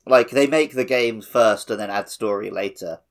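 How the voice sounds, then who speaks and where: A man casually chatting as a podcast host, animated and dryly opinionated. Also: fairly low pitch, moderate pace. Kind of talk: casual chat on a gaming podcast.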